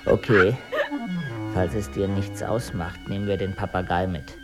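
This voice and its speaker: An actor playing an evasive, stiffly dignified husband in a radio drama, formal and deadpan. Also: male voice, low-pitched, unhurried, loud music behind the voice. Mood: deadpan, detached. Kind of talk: German radio drama acting.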